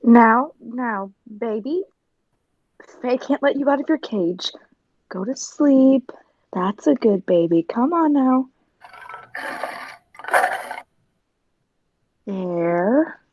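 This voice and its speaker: A woman playing a jealous, cold-blooded circus performer in a 1940s radio drama, deliberate and scheming, on a muffled recording. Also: a little high, animated, full character voice. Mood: cooing.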